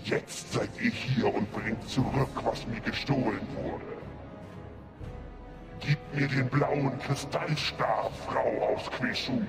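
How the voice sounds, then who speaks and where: A menacing dragon issues contemptuous commands in a fantasy radio play. The delivery is slow and imperious at a medium pitch, with loud music behind it. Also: male voice, fairly even intonation, vocal fry. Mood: threatening. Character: menacing, imperious dragon guarding a stolen hoard. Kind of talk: German fantasy radio drama acting.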